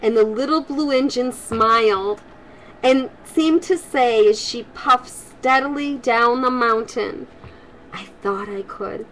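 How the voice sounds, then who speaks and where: An older woman, a grandmother, speaking to children in an expressive, homely storytelling style. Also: high-pitched, slow, poor audio. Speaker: grandmother reading stories for children. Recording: home recording with faint background noise.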